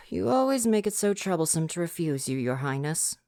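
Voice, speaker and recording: A man's voice: on the high side, sing-song, moderate pace, vocal fry, a little mellow, dry recording, clean studio sound. A man playing a prim, devoted royal butler with formal, fretful diction in an ASMR roleplay. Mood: reluctant, fond.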